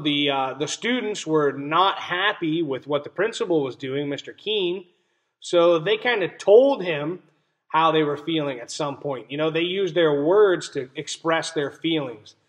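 A young man teaching a read-aloud lesson for young children, explaining simply and stressing key words. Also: a little high. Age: young adult. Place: small indoor room at home. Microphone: webcam or laptop mic at arm's length.

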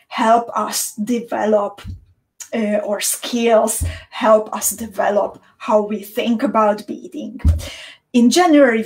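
A woman in her thirties from Slovakia with a Central European accent, chatting casually and cheerfully as a livestream host, halting with small repeats. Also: a little high, a little mellow, rough recording. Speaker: beading teacher and livestream host. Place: small indoor home studio room.

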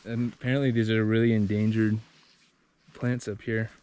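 A man's voice: fairly low pitch, little pitch movement, normal speed, darkish tone. A man from Hawaii hosting an outdoor vlog, talking enthusiastically as he explores and often exclaims. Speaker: vlog host. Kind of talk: casual on-camera vlog narration. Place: outdoors on a mountain hike.

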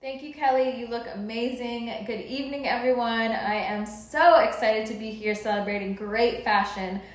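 A woman's voice: on the high side, fairly even intonation, normal speed. A young woman presenting an award in a chatty scripted style, recorded in a very echoey room. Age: young adult.

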